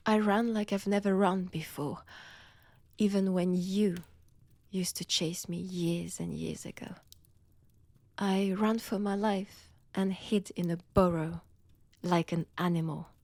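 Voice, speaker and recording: Woman acting as ancient vampire villainess, French accent, unhurried delivery, seductive, mocking, menacing, breathy whispers, dry intimate audio drama. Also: medium pitch, a little mellow, no room sound. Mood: brooding, intense. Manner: slow, taunting, theatrical, dropping often into breathy whispers.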